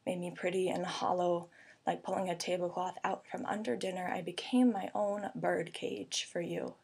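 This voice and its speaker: A young woman delivers slam poetry in a lowish, slightly husky voice. She phrases each image deliberately, with an earnest and intimate delivery. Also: steady tone, darkish tone. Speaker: slam poet.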